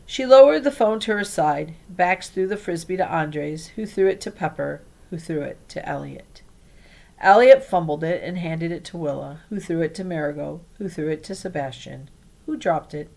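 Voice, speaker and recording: A woman reading a children's storybook aloud in an expressive way. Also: sits low, normal speed, gravelly. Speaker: read-aloud reader.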